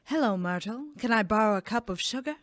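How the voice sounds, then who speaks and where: A woman's voice: mid-range voice, animated, brisk, lightly acted. A woman playing a polite but questioning, gossipy townswoman in a comic audio sketch.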